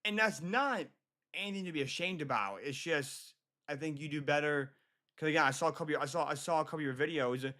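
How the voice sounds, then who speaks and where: Bright-voiced American man, halting livestream chatter. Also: on the high side. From Michigan, United States. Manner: halting, repeats himself often. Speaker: livestream host. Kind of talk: casual chat with livestream viewers.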